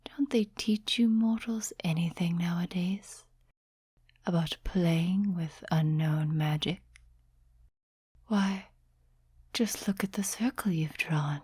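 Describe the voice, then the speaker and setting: Ancient female demon, played by a woman, ASMR roleplay: dark, lowish whisper, teasing condescension, close dry mic. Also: no echo, full character voice. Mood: amused, condescending. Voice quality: breathy. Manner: slow, teasing, soft-spoken whispering. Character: ancient seductive demon, mocking, amused, condescending to mortals. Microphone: close, dry microphone.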